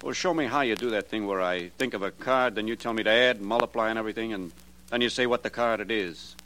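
A man's voice: fairly low pitch, fast, sharp tone. An actor with an American accent playing a timid, gullible, aggrieved gambling sucker who pleads his way through a 1950s radio crime drama. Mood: pleading. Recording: noisy old radio recording with hum.

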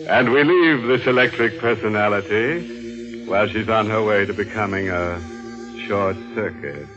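Actor as a slow, ghoulish radio horror host. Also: male voice, fairly low pitch, natural voice. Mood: macabre glee. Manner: tongue-in-cheek, drawn-out, chuckling. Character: ghoulish, gleefully macabre horror-show host with mocking humour. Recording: muffled vintage radio recording with music.

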